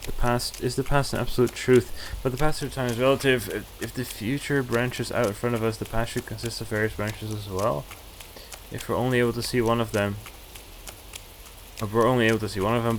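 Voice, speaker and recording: A man's voice: medium pitch, fairly even intonation, a little fast, a little hiss or hum, poor audio. A man streaming a visual novel, reading the narration aloud casually, with stumbles and asides. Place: at home, streaming. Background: faint game music.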